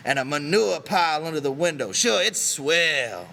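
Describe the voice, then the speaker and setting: A man voicing a bitter, proud American stable hand who talks scornfully and forcefully, in a dramatic audiobook style. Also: fairly high pitch, expressive, normal speed, vocal fry, a little hiss or hum, voice pushed up, exaggerated voice. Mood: sardonic. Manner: scornful, brutal, forceful rural American talk.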